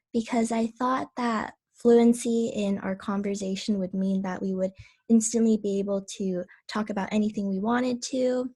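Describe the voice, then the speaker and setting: A woman writer talking on a video call in a soft, thoughtful, measured way about her own piece. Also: mid-range voice. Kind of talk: reflective personal talk.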